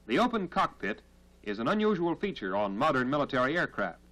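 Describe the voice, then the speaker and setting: An American man narrating a 1940s military training film in a formal, measured, instructional manner, on a period film soundtrack. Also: mid-range voice, big pitch swings, a bit bright, low-quality recording.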